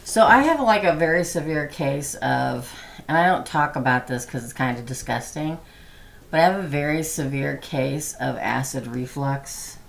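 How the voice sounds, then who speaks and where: A hoarse woman who is losing her voice, hosting a livestream reading and chatting softly and slowly. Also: extremely low pitch. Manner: soft-spoken, slow and chatty. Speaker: tarot reader hosting a livestream. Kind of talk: casual livestream chat.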